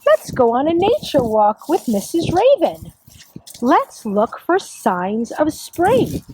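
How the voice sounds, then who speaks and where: A woman teacher leading an outdoor lesson for young children, talking and reading aloud in simple, sing-song phrases. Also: a little high. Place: outdoors on a nature walk. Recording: clearly noisy outdoor recording.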